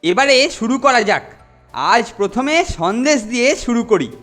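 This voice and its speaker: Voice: mid-range voice, lively intonation, moderate pace, lightly acted. An actor playing a sly, greedy hostel boy in a cartoon, with exaggerated delivery. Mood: gleeful, sly. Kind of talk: cartoon voice acting. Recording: loud music behind the voice.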